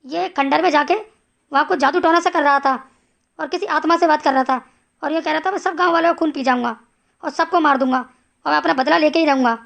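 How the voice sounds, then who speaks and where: A woman voicing a naive, nervous male villager, a timid sidekick in an animated horror story. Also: sits high, fast talker, vocal fry. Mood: anxious reporting.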